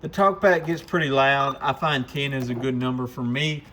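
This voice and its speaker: A man's voice: mid-range voice, fairly even intonation, normal speed, pushed and bright, slight background noise. A man chatting in an informal, folksy way as he gives a casual product demonstration like a shop presenter.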